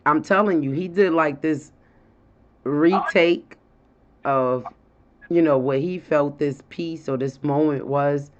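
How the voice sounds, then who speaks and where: A woman with a deep voice, co-hosting a casual talk show and telling stories with frequent laughter. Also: takes it slowly. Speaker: co-host. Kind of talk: casual chat. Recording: dull lo-fi sound.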